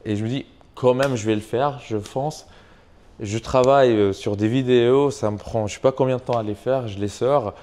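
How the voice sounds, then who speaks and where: A man's voice: medium pitch, fairly even intonation, normal speed, dark tone. A young man with a foreign accent, speaking French as a second language, chatting in an interview with many fillers and restarts. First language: not French. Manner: hesitant, many fillers and restarts, says "tu vois" often. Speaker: YouTuber and online business coach. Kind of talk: casual interview conversation.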